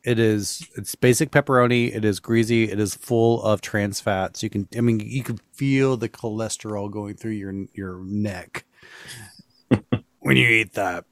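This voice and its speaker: A man hosting a podcast in casual chat, telling things with drawn-out emphasis. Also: fairly low pitch, steady tone, no room sound, clean studio sound. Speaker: podcast host.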